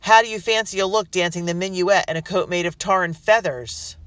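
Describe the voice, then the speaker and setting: A narrator voicing shouting men of a rebel mob taunting a loyalist family. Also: medium pitch, monotone, normal speed, vocal fry, no echo. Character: jeering rebel mob men, gleeful and cruel.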